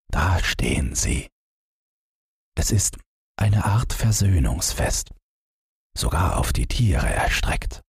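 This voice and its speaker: Very deep, husky male storyteller. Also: dry recording, clean studio sound. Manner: measured, dramatic storytelling. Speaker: audiobook narrator. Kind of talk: scripted literary narration of a Christmas story.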